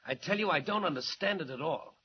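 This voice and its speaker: An actor with an American accent playing a plain-spoken, baffled ship captain in a 1940s radio drama, emphatic and folksy. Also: male voice, on the high side, expressive, a little fast, close to an everyday voice. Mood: puzzled. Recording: muffled, noisy 1940s radio recording.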